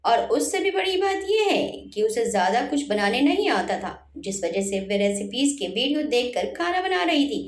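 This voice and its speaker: A woman narrating a scripted family story in an expressive storytelling style. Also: on the high side, normal speed, hardly any pauses. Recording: roomy echo on the voice.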